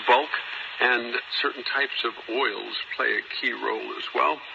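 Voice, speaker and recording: A man's voice: mid-range voice, measured pace, creaky. A man explaining health matters in a formal, instructive way, heard over shortwave radio. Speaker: health program speaker. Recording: muffled noisy shortwave radio reception.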